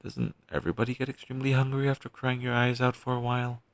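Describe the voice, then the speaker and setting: A man playing a weary, caring undead best friend in an intimate audio roleplay, talking casually and earnestly with halting phrases. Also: mid-range voice, little pitch movement, no room sound, natural voice. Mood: wry, casual. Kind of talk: audio roleplay, intimate one-sided dialogue.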